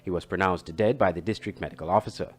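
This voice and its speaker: A man delivers scripted news. He reads as a reporter, in the measured wording of a bulletin. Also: deep voice, slightly rough.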